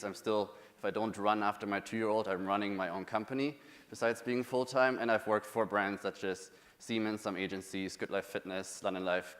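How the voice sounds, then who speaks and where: A man in his thirties with a German accent speaks to a conference crowd. His voice sits fairly low, and he talks loosely, with fillers and restarts. A long reverb rings around him in a big hall. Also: fairly bright tone. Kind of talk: conference talk.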